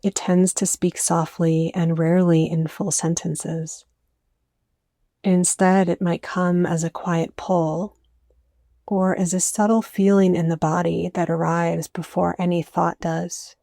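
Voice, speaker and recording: A woman leading a guided meditation, speaking gently and soothingly in scripted, softly inviting phrases. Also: lowish voice, level tone, slow, gravelly, no room sound, studio quality.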